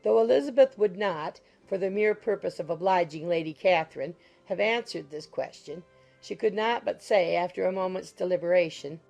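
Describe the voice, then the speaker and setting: Female narrator, lowish and gravelly with vocal fry, scripted literary storytelling over faint music. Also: normal speed, darkish tone.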